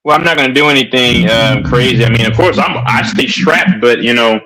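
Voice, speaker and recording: A man on a livestream debate, talking in an animated, emphatic way with many restarts. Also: medium pitch, brisk, low-quality recording. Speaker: streamer.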